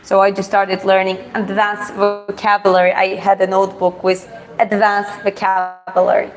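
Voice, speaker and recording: A woman's voice: medium pitch, completely flat delivery, normal speed, low-quality recording. Woman chatting casually. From Georgia. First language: Russian. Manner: hesitant phrasing, reads out viewer questions then answers frankly. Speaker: live stream host. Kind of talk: casual live Q&A chat.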